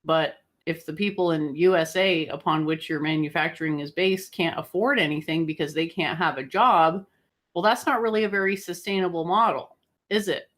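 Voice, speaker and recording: A woman with a fairly low voice comments on the news as a co-host. She speaks conversationally, feeling her way through each point with some hesitation. She often turns a point into a rhetorical question. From outside the United States.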